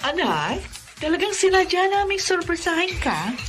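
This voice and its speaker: A woman's voice: extremely high pitch, big pitch swings, normal speed, a bit bright, faint music behind, heavily acted. An actress in a radio drama playing a loving, teasing mother who talks to her daughter with affection. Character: loving middle-aged mother, teasing and warm. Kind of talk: classic radio drama acting.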